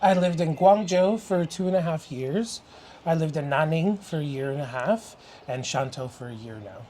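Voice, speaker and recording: A man's voice: fairly high pitch, expressive, moderate pace, warm tone, slight background noise. A young man from Canada, an interview guest talking casually and openly, laughing easily. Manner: open and chatty, laughs easily. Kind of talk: casual chat.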